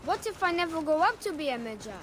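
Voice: very high-pitched, big pitch swings, quick pace, a bit bright, a little hiss or hum, exaggerated voice. An actor playing an eager, anxious young boy who adores his father in a game cutscene. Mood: eager, curious. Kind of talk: dramatic game cutscene acting. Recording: faint game music behind.

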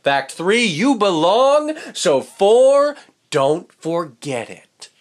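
A kind female fish schoolteacher from a children's picture book, voiced by a man. She speaks high and lilting, with wide swoops, always reassuring and encouraging. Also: big pitch swings, extremely slow, full character voice. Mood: cheerful, encouraging.

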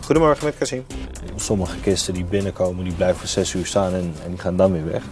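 Low-pitched man, music underneath. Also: fast talker, darkish tone. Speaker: airport apron controller. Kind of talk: documentary interview and work talk. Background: background music.

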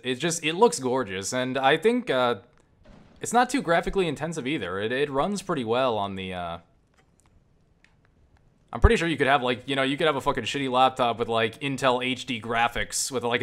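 A man giving casual, profane gameplay commentary, enthusiastic but halting, often restarting his phrases. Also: mid-range voice, expressive, fairly bright tone. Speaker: gamer and game reviewer. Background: game music and sound.

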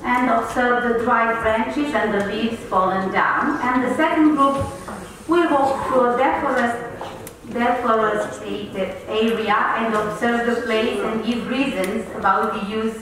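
A woman's voice: a little high, takes it slowly, background noise. A woman presenting at a conference, describing a planned activity in a plain, explanatory way. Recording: echoey hall, voice from a distance.

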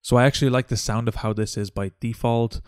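A man narrating a step-by-step software tutorial as its host. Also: mid-range voice, fairly even intonation, fast talker, clean tone, no echo, clean studio sound.

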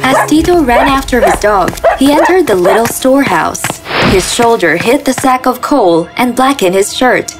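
A woman's voice: on the high side, lively intonation, moderate pace, few pauses. A woman giving scripted narration of a fairy tale as the storyteller. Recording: music behind the voice.

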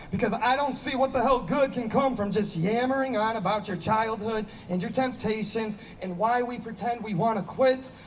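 A man plays an anguished, defensive man fighting the bottle in a play reading. His voice sits high and he hammers his phrases in agitation. It is picked up at a distance outdoors, with some background noise. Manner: emphatic, repetitive, agitated. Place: small outdoor stage. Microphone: handheld microphone.

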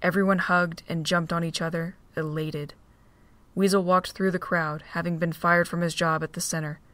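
Narrator, woman, low-ish voice, dry close sound. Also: normal speed, dry recording. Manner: steady storytelling. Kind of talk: scripted narration of a fan audio drama.

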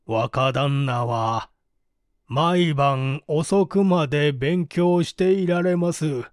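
A man voicing a humble, deferential village manservant in his forties, testifying haltingly in a mystery audiobook. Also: on the high side, no echo, clean studio sound, pitched below the performer's own voice. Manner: slow, halting, measured testimony. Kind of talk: audiobook reading of a vintage mystery.

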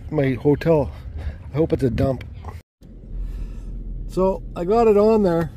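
A man's voice: on the high side, dark tone. A man talking to the camera while he drives on a road trip, rambling and correcting himself, swearing now and then, with the car's faint hum behind him. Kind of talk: casual chat while driving. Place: inside a car on the road. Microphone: phone camera mounted on the dash. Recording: faint car noise behind.

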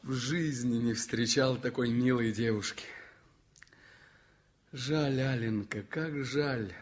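An actor playing a shy, gravely ill young journalist in a 1960s radio play, speaking in a wistful, self-deprecating way. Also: male voice, medium pitch, takes it slowly, natural voice. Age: young adult.

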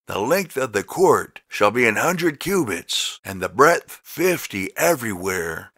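A man narrates scripture in a bright, forceful voice with lively, swinging intonation. He reads deliberately and emphatically from a script. The sound is close and dry. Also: mid-range voice, dry recording. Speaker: Bible narrator. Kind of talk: slow scripted scripture reading.

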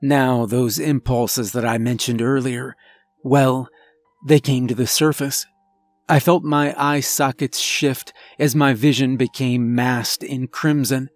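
A man voicing a remorseful werewolf husband and father confessing in a grave, halting, emotional horror story. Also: medium pitch, dry recording, lightly acted. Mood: grim, intense. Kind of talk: dramatic first-person horror storytelling. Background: background music.

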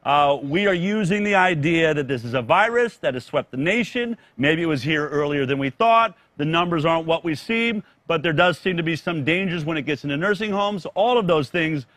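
Higher-voiced male host, dry sound. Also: lively intonation, moderate pace, few pauses, a bit bright, no echo. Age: middle-aged. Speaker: talk show host, interviewer. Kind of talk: broadcast interview questioning. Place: indoors, studio.

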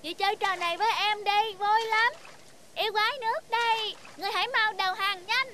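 A woman's voice: extremely high pitch, steady tone, a little fast, heavy background noise. A woman voicing a playful, teasing little boy, a pestering younger brother in a cartoon. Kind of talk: cartoon dub.

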